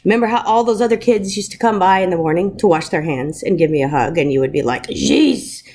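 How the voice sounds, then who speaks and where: A woman in her thirties, a first grade teacher, talking informally to young pupils and reading aloud in an encouraging, expressive way. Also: on the low side, brisk, slight background noise. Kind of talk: warm informal message to pupils and picture-book read-aloud. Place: wood-ceilinged room at home, indoors. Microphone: webcam at arm's length.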